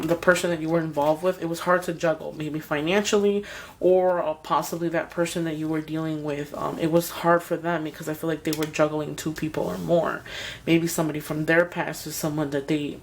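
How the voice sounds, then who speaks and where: Hoarse woman giving a tarot reading. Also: deep voice, fairly even intonation, normal speed. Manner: hesitant, rambling, speaks straight to viewers. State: scratchy, hoarse voice. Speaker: tarot reader. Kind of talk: tarot reading addressed to viewers.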